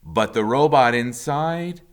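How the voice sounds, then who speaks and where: A man reading a children's story aloud as a teacher would, with expressive, suspenseful narration and drawn-out pauses. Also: mid-range voice.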